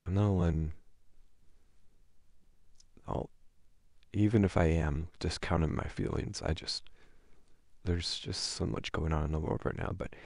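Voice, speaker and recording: Man playing a sheepish boyfriend, ASMR roleplay, deep voice, slow halting delivery, affectionate, flustered. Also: vocal fry, a little mellow, no echo, clean studio sound, full character voice. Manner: hesitant, halting, wordy. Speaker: audio roleplay performer.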